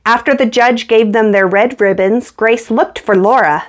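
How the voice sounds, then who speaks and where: A woman's voice: fairly high pitch, normal speed. A woman reading a children's picture book aloud as a storyteller, acting out the dialogue as she goes.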